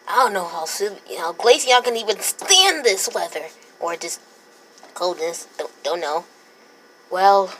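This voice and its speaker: A woman's voice: mid-range voice, background noise. A woman doing a bored little otter creature. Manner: whiny, dramatic sighs, grows gloomy when trapped. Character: small male otter creature, bored, playful. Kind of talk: fan comic dub acting.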